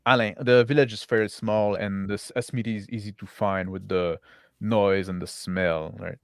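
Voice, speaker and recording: Halting male game master, dry sound. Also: on the low side, steady tone, clear, resonant tone, no echo. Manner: halting, pauses while searching for words. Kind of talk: improvised tabletop game narration.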